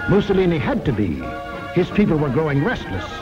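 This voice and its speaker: A man delivers rhetorical wartime film narration from the 1940s with dramatic emphasis. His voice is fairly high and swings widely in pitch. Loud music plays behind him on the old soundtrack. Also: very animated, a little fast, highs cut off. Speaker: documentary narrator.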